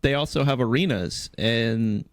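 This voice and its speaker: A man's voice: mid-range voice, measured pace. A man chatting casually as a contributor on a podcast. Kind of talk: casual chat.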